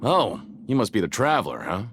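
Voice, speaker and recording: A man's voice: fairly low pitch, wildly swooping pitch, fairly quick, a bit bright, slight background noise. A man voicing a breezy, slick game-stall operator in a video game, apologetic but salesman-like. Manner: casual, salesman-like patter.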